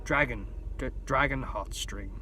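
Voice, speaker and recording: Man acting a frightened noble wizard, hoarse, deferential, stammering, storybook character, noisy recording. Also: mid-range voice, a little slow, sharp tone, recorded from a distance. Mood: nervous. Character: fearful, submissive aristocratic wizard, grown man. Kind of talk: dramatic audiobook character reading.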